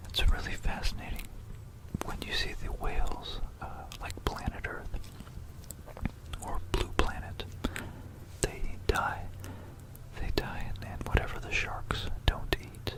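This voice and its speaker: An ASMR creator whispering about history in a hushed, rambling, musing way, close into a stereo microphone. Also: measured pace, rough recording. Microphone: close stereo binaural microphone.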